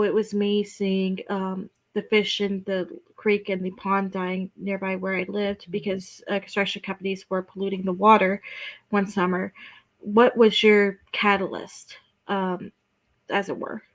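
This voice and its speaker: Soft-spoken woman co-host asking questions. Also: medium pitch, little pitch movement, measured pace, poor audio. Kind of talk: casual video-call interview. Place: home room indoors.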